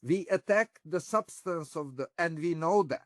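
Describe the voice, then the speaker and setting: Man, slow delivery, dry sound, explanatory interview guest. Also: fairly high pitch, expressive, dry recording. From Hungary. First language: Hungarian. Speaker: interview guest, former diplomat and academic.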